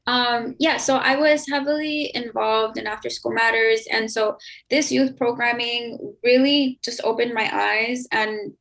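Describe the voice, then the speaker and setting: A woman with an American accent and a fairly high voice edged with vocal fry. She talks reflectively as an interview guest, laying out her thoughts conversationally and often checking in with a tag question. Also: steady tone, measured pace, fairly bright tone, poor audio. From Chicago, United States. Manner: explanatory, often ends points with "right?".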